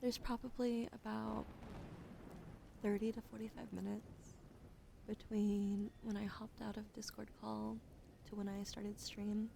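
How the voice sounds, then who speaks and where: A woman hosting an ASMR livestream, chatting casually to viewers in a gentle, soft-spoken way that often drops into breathy whispers, close on a sensitive microphone. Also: medium pitch, a little hiss or hum.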